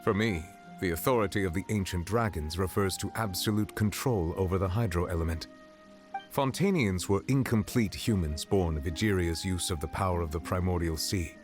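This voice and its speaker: An actor playing an ancient dragon sovereign in human form, speaking in a formal, measured, solemn way as he explains a verdict. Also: sits low, close to an everyday voice. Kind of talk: game character dialogue. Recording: game audio with music behind. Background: music.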